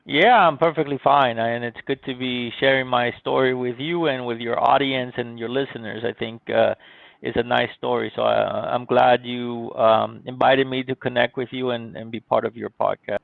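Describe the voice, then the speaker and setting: A man's voice: medium pitch, fairly even intonation, normal speed, creaky, no echo. A man, politely explaining his own story as a podcast interview guest. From born in Cuba, grew up in Miami, United States. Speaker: podcast guest, IT company CEO. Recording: thin, phone-like call audio.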